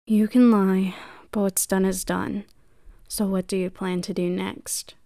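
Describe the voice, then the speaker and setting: A woman's voice: medium pitch, level tone, unhurried, warm tone, no room sound, clean studio sound, natural voice. A woman voicing a cold, commanding woman leader who hunts witches, in an amateur roleplay drama. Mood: stern.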